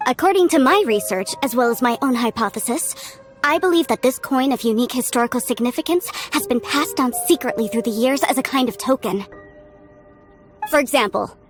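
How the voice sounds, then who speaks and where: High-voiced scholarly woman. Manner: formal, explanatory. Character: confident female researcher, earnest and scholarly theorist. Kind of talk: video game character acting.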